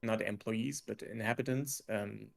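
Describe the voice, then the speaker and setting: A man's voice: on the low side, fairly even intonation, gravelly. A man in his forties from Switzerland, a game developer talking hesitantly in a casual interview over a video call. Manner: hesitant, halting with restarts and laughs.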